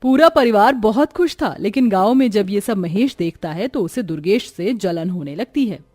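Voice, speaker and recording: A woman's voice: medium pitch, lively intonation, moderate pace, a little mellow, no room sound, studio quality. A woman narrator reading a scripted story as storytelling narration.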